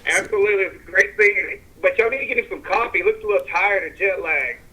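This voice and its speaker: A man's voice: sits high, fast, a loud crowd. A man with a Louisiana Southern accent calling in to a live stream, friendly and drawling, saying y'all. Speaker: caller. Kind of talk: casual phone call. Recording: phone line with faint noise.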